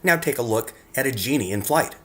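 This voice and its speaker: A man's voice: medium pitch, animated, fairly quick. A man reading scripted narration in an earnest way, speaking directly to the viewer as he presents his work. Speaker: narrator presenting own photographs.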